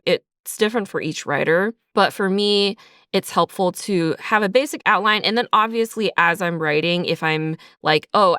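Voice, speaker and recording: A woman's voice: on the low side, fairly bright tone, smooth, no echo, studio quality. A woman writer explaining her creative process in a casual, reflective interview style. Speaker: writer and creator of an audio drama.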